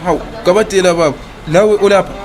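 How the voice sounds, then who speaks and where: An actor playing a smooth-talking, evasive cheating husband in a radio drama, with a Zimbabwean accent and a stammer when cornered. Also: male voice, high voice, quick pace, close to an everyday voice, rough recording. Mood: flustered, pleading. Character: smooth-talking, cheating husband wooing his young lover, evasive debtor.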